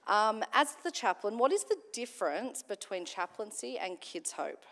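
A woman, a children's minister whose voice is slightly croaky, interviewing in an upbeat way on stage. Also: medium pitch, lively intonation, moderate pace, fairly bright tone. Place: church sanctuary. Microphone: handheld mic. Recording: long church hall reverb.

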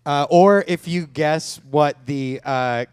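A man's voice: on the high side, takes it slowly, clear, resonant tone, no room sound. A man running a comedy quiz game on a live podcast stage, dry and jokey, speaking into a handheld mic. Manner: dry jokey quizmaster, chuckling. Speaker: game segment host. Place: stage before live audience.

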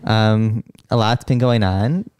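A man's voice: fairly low pitch, slow pace, a little mellow, clean tone, no echo. A man chatting casually as a podcast host, informal and teasing. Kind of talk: casual chat.

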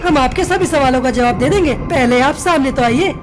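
A woman voicing an excitable, naive little boy bird in a children's cartoon, with an eager and boastful manner. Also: sits high, brisk, natural voice. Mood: frightened. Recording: background music under voice.

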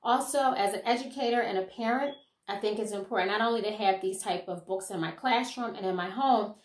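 A middle-aged woman speaking as an educator who shares children's picture books, with deliberate, expressive delivery. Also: medium pitch. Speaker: educator reading a children's picture book aloud. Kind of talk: expressive read-aloud and book commentary for children and teachers. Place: small room at home, indoors. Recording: roomy indoor echo.

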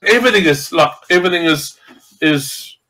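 A man's voice: fairly high pitch, animated, moderate pace, sharp tone. A middle-aged man from Zimbabwe, a podcast guest chatting casually on a video call from home, rambling with many fillers and restarts. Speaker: podcast guest, wreck diver. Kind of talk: casual chat. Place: home room with window.

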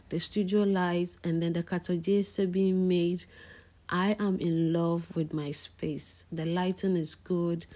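A woman's voice: lowish voice, mellow. A woman giving a casual, enthusiastic tour of her home salon, talking with lots of "you know" fillers while walking the camera around a small indoor room. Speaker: wig maker and hairstylist giving a salon tour.

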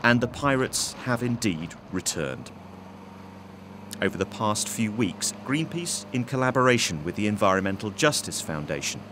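A man giving a scripted documentary narration in a measured, even voice. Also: lowish voice, noisy background. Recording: voice-over with music bed. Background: music.

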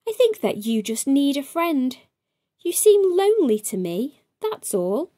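A brave, kind little storybook boy, acted out by a woman, speaks up earnestly. The voice is high and lively, with plain-spoken conviction. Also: unhurried.

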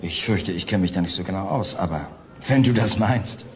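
An actor playing a friendly, patient school headmaster who talks indulgently to a child in an audio drama. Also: male voice, low-pitched, normal speed, a little hiss or hum, natural voice. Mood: amused, indulgent. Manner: kindly, indulgent with a small child.

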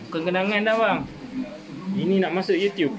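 Malaysian man, slightly high voice, vlogging a fish-farm visit by phone, curious, quick questions, busy chatter nearby. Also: rough recording. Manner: curious, asks many quick questions, chuckles often. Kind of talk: casual chat. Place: indoor fish room with shelves of jars. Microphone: handheld phone camera.

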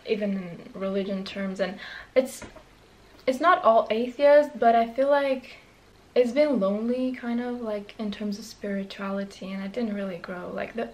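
A woman's voice: medium pitch, normal speed, darkish tone, poor audio. A woman in her twenties with a Romanian accent, talking candidly to camera in a small bedroom, rambling with many fillers and restarts. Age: 20s. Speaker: vlogger. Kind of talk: casual talk to camera.

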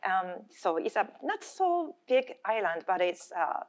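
A middle-aged woman with a Japanese accent giving a conference presentation in halting English, searching for words as a non-native speaker. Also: medium pitch, very animated. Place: indoor conference room, at a lectern. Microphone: gooseneck lectern microphone.

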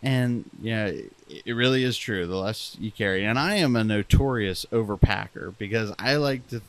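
A young man with a slightly low voice talks casually on a podcast. He rambles, restarts his sentences and pokes fun at himself. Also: normal speed. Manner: rambling, self-deprecating, many restarts. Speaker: podcast co-host.

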